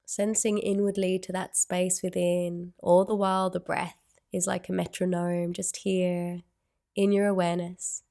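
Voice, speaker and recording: A woman guides a meditation very slowly, with long pauses between gentle phrases. Her voice sits on the low side and stays in a narrow, even range, with noticeable fry at the edges. The delivery is soothing and unhurried.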